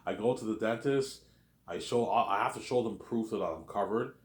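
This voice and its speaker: Brief: man, 30s, low voice, rambling vlog monologue in an echoey room. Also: normal speed. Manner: repetitive, keeps adding "obviously" and "man". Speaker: vlogger. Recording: roomy echo.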